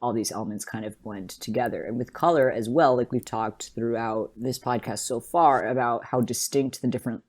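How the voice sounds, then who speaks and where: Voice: medium pitch, moderate pace, hardly any pauses. Podcast host with an enthusiastic, wordy manner. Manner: conversational, frequent "like" and "right?" check-ins. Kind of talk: casual analytical podcast discussion.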